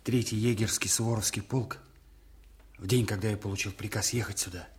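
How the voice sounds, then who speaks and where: An actor playing a dutiful, uneasy military courier with formal, stiff address in a radio drama. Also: male voice, on the low side, fairly even intonation, brisk, lightly acted. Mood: grave, hushed. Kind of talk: Soviet-era radio drama acting.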